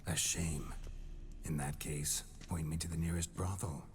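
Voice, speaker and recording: A man's voice: very deep voice, fairly even intonation, unhurried, over music. An actor playing a roguish nobleman's ghost speaking through a monster hunter's body in a video game, boastful and courtly. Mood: flirtatious. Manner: flamboyant, boastful, courtly flowery speech. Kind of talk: video game character acting.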